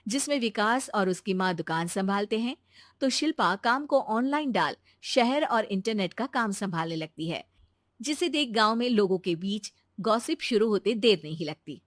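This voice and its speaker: A woman's voice: medium pitch, expressive, moderate pace, no room sound, clean studio sound. A woman narrating a scripted moral bedtime story as a storyteller.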